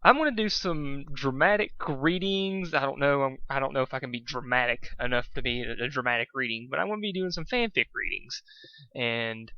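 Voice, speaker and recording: A man's voice: medium pitch, fairly quick, bright, forceful tone, dry recording. Muffled lo-fi recording, man, dry close sound, casual amateur story reader, self-deprecating and stumbling. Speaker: amateur fan fiction reader. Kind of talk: casual rambling introduction and informal story reading.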